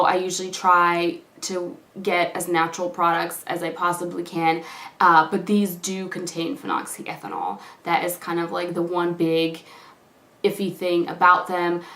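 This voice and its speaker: Chatty woman with a cold-roughened voice. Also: lowish voice, fairly bright tone, echoey room. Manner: chatty, gushing, many fillers like "like" and "you know". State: slightly hoarse from a cold. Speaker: beauty vlogger. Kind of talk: casual product review to camera.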